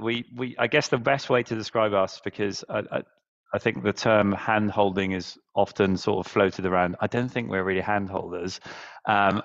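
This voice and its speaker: A man's voice: lowish voice, a bit bright, highs cut off. A man in his thirties on a headset mic in a video call, speaking as a guest on a webinar panel with frequent fillers and restarts. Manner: fluent, many restarts and fillers, earnest. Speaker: relocation business founder, panel guest. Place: indoors, virtual background.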